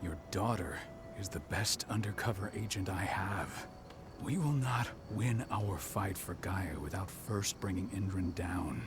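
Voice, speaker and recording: An actor playing a stern, wounded veteran werewolf leader in a video game, grave and measured with a breathy, weary voice. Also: male voice, sits low. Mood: stern, firm. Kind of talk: video game cutscene acting. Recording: loud background noise.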